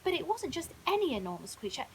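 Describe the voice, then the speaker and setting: Female storyteller, high-pitched, animated intonation, dramatic children's story reading, faint hiss. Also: fast. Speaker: storyteller, primary school teacher. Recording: audible hiss.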